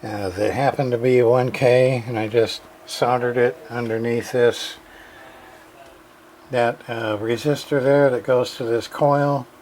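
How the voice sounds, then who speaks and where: A man giving a casual, halting walkthrough of a radio repair, full of fillers and restarts. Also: mid-range voice, fairly even intonation, darkish tone, a little hiss or hum, low-quality recording.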